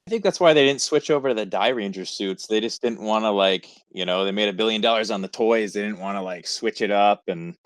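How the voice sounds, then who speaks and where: Low-voiced man chatting casually, dry sound. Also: a little fast, few pauses, dry recording. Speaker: podcast commentator. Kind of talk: casual chat.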